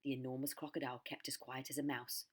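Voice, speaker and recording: A woman reads a children's story aloud in scripted narration. Her voice sits low for a woman. It has a husky edge. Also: little pitch movement, fast. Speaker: primary school story reader.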